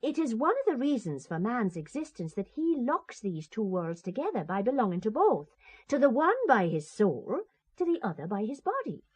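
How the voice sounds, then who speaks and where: A woman's voice: fairly high pitch, sing-song, moderate pace, husky. An actress playing a devout, feisty Irish woman in an audio drama, with an Irish accent and a spirited, teasing, argumentative manner. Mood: earnest.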